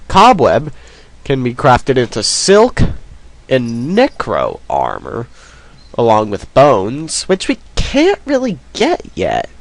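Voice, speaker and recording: A man's voice: mid-range voice, no room sound. A man with a cold, sniffling as he rambles through casual let's play commentary. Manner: rambling, self-deprecating, many ums.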